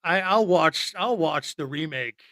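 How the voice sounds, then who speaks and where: A man's voice: fairly high pitch, lively intonation, measured pace, bright, forceful tone, no room sound, studio quality. A man co-hosting a podcast, talking casually about films in a rambling, informal and opinionated way. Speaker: podcast co-host. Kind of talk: casual film chat.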